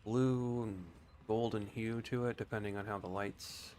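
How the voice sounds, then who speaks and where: A man streaming a video game, explaining things in a casual, rambling, unhurried way. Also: on the low side, fairly even intonation, a little mellow. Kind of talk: casual gameplay commentary. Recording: faint background noise.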